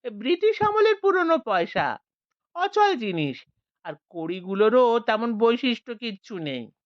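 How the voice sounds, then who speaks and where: Woman doing a quiet, earnest village man. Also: fairly high pitch, big pitch swings, a little slow, no room sound, muffled recording.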